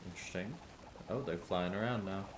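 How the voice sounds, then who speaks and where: A man's voice: deep voice, brisk, heavy background noise. A man doing casual live gameplay commentary as a streamer, offhand and playful. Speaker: game streamer.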